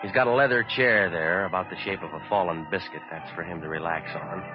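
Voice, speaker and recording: A very low-pitched, hard-boiled American private eye acted out by a man, narrating in terse, deadpan wisecracks. It is a 1940s radio detective play on a dull vintage recording, with music behind. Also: a little fast, lightly acted. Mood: dry, wry.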